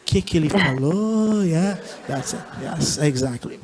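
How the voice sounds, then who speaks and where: Guy from trinidad, teacher on a panel, animated and self-mocking, voice on the higher side. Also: unhurried, low-quality recording. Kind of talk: panel talk at a conference.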